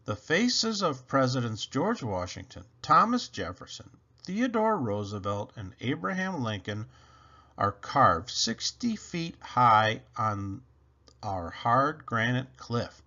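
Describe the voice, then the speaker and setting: Animated, slow-paced man reading storybooks. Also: medium pitch, muffled recording. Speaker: picture book read-aloud reader. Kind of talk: animated scripted storybook narration for children.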